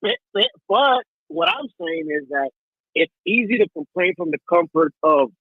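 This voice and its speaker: A man's voice: high-pitched, very animated, normal speed, slightly rough, no room sound. A man from Mexico arguing heatedly on a live stream, stammering, repeating words and pressing with "right?". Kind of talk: heated argument. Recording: thin phone-like line.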